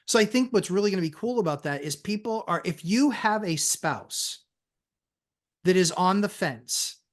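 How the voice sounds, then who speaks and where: Married investor guy telling his story on a podcast, earnest, speeds up a lot. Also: on the high side. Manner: earnest, fast bursts of storytelling. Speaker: podcast guest, real estate investor. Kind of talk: casual podcast chat.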